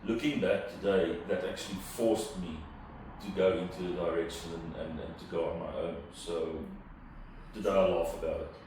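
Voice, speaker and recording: Deep-voiced man, echoey room. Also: steady tone. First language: Afrikaans. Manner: thoughtful, halting with fillers and restarts. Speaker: interviewed entrepreneur. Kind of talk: reflective interview answers. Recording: roomy, distant mic with room noise.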